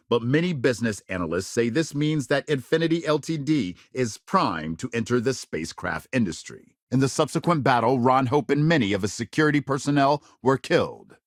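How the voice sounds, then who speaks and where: Dramatic male sci-fi newscaster, lively pitch. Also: no room sound, clean studio sound. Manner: punchy, theatrical newsreader delivery. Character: polished, dramatic male sci-fi news broadcaster. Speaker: news reporter. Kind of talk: scripted news broadcast narration.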